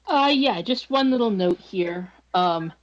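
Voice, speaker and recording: A woman's voice: medium pitch, expressive, measured pace, dull audio. A woman on a casual podcast panel, speaking hesitantly with many fillers. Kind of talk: casual chat.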